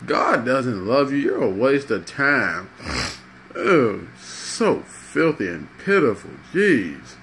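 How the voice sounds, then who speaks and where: A man's voice: mid-range voice, big pitch swings, slow, a bit bright, exaggerated voice. Man acting sneering churchgoer, noisy recording. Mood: disgusted contempt. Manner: sneering, contemptuous. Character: disdainful, self-righteous churchgoer, disgusted and cruel.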